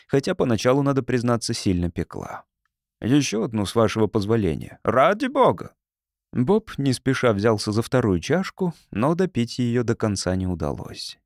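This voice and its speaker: A man's voice: medium pitch, a little mellow, no echo, clean studio sound. A man narrating a science fiction audiobook from a script.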